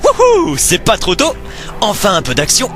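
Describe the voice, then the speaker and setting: A man's voice: sits high, big pitch swings, moderate pace, over music. A man voicing an eager, impatient, boyish young soldier, cheeky and informal, in an amateur video game dub. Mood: overjoyed.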